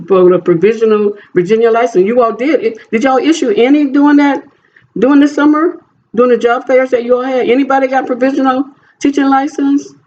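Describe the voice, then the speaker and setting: A man's voice: very high voice, darkish tone. A man on a phone call as a job applicant, talking in an insistent, repetitive way. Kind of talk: phone conversation. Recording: dull noisy phone call recording.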